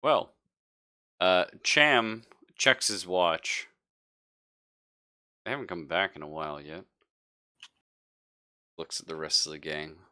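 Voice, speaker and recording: A man narrating a horror tabletop game as game master, improvising in a hesitant, halting way. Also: sits low, sharp tone, clean tone.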